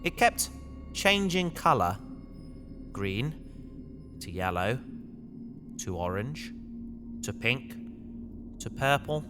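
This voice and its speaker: A man playing a reflective space adventurer, narrating his own story in a measured, descriptive way for an audio drama. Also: medium pitch, very animated. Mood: hushed wonder. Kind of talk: scripted first-person audio drama narration. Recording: music behind the voice.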